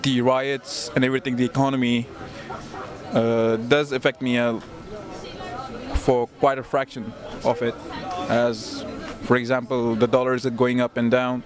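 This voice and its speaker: A man's voice: medium pitch, little pitch movement, unhurried. A young man from Pakistan, a student, giving hesitant street interview answers full of fillers. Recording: crowd noise on a busy street.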